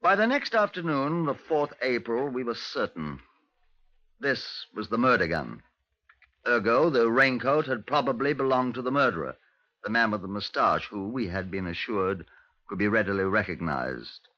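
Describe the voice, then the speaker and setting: Brisk British detective inspector, 1950s radio drama, forceful, measured report style, lo-fi sound. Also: male voice, mid-range voice, expressive, no room sound. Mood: matter-of-fact. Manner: clipped, formal, measured police-report delivery. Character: brisk, methodical male Scotland Yard inspector. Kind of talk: 1950s radio drama acting, narration and dialogue. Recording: dull, lo-fi 1950s radio recording.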